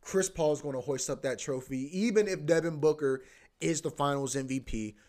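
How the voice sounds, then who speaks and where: A man's voice: on the high side, moderate pace, darkish tone. Rambling man saying bro constantly. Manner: rambling, repeats himself, says "bro" a lot, swears. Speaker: podcast host. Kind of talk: casual rambling chat.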